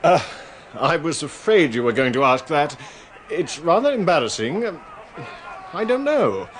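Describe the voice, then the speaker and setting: An actor playing a guarded, irritable middle-aged English husband with something to hide, clipped and coolly polite, in a radio drama. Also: male voice, a little high, big pitch swings, a little slow, slight background noise. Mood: awkward, evasive. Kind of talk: British radio drama acting.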